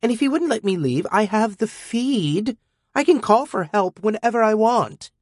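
A sulky teenage girl, voiced by a male audiobook reader who talks back with scorn. The voice sits high and swings widely. The recording is dry and close. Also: wildly swooping pitch, measured pace, darkish tone, husky, no room sound, full character voice. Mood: scornful.

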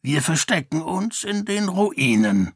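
A man voicing an old, half-senile male beggar with a croaky, rambling manner. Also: a little high, big pitch swings, slow pace, no room sound, clean studio sound, pitched above the performer's own voice, exaggerated voice. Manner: rambling, humble.

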